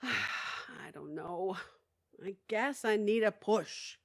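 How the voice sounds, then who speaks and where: Animated, kvetching older widow. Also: female voice, medium pitch, slow pace, vocal fry, heavily acted. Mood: wistful. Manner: kvetching, folksy, Yiddish-peppered. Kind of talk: audio theatre comedy acting.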